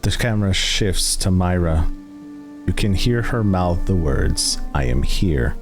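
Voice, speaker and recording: A man runs a tabletop roleplaying game in a very deep voice. He narrates slowly and deliberately, with dramatic pauses and plenty of melodic movement, over soft music. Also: expressive. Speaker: game master. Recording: background music under the voice.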